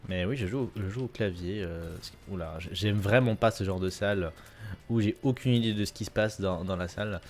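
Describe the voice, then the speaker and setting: Gamer guy, lowish voice, easygoing play-along commentary at his desk mic. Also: a little fast. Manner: relaxed, rambling, many fillers and repeats. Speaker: gaming YouTuber. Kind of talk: casual gameplay commentary. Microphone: desk mic at a gaming setup.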